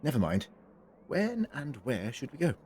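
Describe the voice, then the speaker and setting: A man's voice: medium pitch, wildly swooping pitch, normal speed, darkish tone, a little hiss or hum. A man playing a whimsical, worldly alien time traveller in an audio drama, musing eloquently and playfully.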